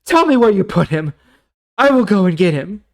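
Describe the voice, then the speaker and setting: A man's voice: high-pitched, sing-song, normal speed, pitched above the performer's own voice. A man voicing a distraught, urgent grieving woman in a dramatized scripture story, with emotional, emphatic delivery. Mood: urgent pleading.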